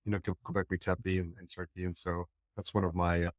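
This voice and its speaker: Deep-voiced man hosting a podcast. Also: flat delivery, fast, no echo, clean studio sound. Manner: curious, chatty, many "like" fillers. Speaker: podcast host. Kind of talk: podcast interview.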